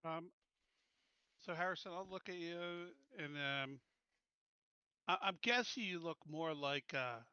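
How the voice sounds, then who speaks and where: A man's voice: a little high, measured pace, long pauses, sharp tone, smooth, no echo, muffled recording. A man playing a tabletop roleplaying game, chatting casually and speaking as his character.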